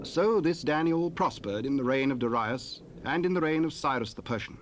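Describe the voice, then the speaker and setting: A man's voice: mid-range voice, lively intonation. Solemn male bible narrator, tape hiss. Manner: measured, solemn storytelling. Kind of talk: scripted scripture narration for audio drama. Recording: hissy cassette tape rip.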